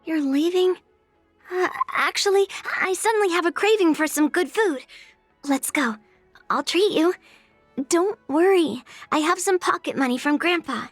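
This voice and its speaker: A woman's voice: very high-pitched, a little slow, no room sound, soft background music. A woman playing a brash, earnest young swordswoman in a game, blunt but girlish, speaking in a declarative, matter-of-fact way. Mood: casual, eager. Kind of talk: scripted game character voice lines.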